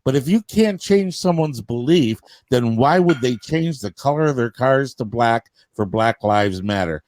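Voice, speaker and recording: A man's voice: mid-range voice, lively intonation, a little slow, darkish tone, no echo. A man hosting a casual group debate, pushing back with pointed questions. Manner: challenging, questioning.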